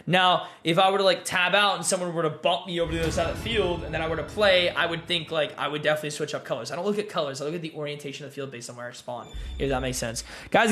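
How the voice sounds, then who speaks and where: A young male streamer with a somewhat high voice talks in a loose, relaxed way, full of fillers and easy asides to his chat. A faint hiss sits under his voice from the home setup. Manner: laid-back, filler-heavy, reads chat aloud. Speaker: gaming streamer. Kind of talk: casual live-stream chat.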